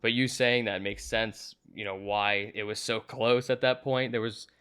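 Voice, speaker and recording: A man's voice: lowish voice, fairly even intonation, normal speed, fairly bright tone, clear, resonant tone. A man hosting a casual, agreeable movie review conversation, talking in a conversational way.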